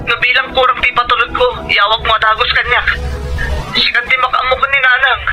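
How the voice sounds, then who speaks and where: A man's voice: very high voice, level tone, brisk, sharp tone, full character voice, rough recording. A man with a Filipino Ilocano accent playing a concerned, trusting husband in an audio drama, with music behind him. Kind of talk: scripted audio drama acting.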